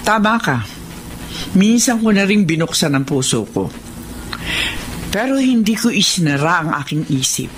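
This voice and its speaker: A stern elder in a radio drama. The high voice swings dramatically as it admonishes, with faint noise behind it. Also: male voice, very animated, moderate pace, long pauses. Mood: grave, wounded. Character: stern, wounded elder warning a headstrong young man. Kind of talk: Filipino radio drama acting.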